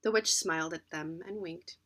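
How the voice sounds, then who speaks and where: An adult woman narrating a children's storybook in an expressive reading style. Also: fairly low pitch, normal speed. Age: adult, parent. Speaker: children's book reader.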